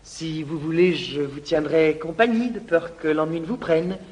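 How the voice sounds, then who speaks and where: Guy doing a pushy little valet, high voice, overly polite. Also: moderate pace, a little mellow, natural voice. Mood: eager. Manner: fussy, overeager politeness. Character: Arlequin, chatty eager servant. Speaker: actor in radio play.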